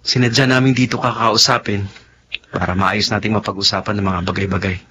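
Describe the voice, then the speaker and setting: An actor with a Filipino accent playing a gentle, self-sacrificing young man in a radio drama. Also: fairly low pitch, steady tone, fairly quick. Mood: reassuring, wistful. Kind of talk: Tagalog radio drama acting. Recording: faint background noise.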